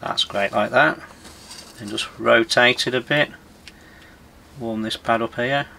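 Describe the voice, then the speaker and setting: Bright-voiced man giving hands-on commentary. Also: medium pitch, a little slow, vocal fry, a little hiss or hum. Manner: informal, explains as he works. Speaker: electronics repairer presenting a workshop video. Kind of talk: hands-on demonstration commentary, casual. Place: indoor electronics workshop at a workbench.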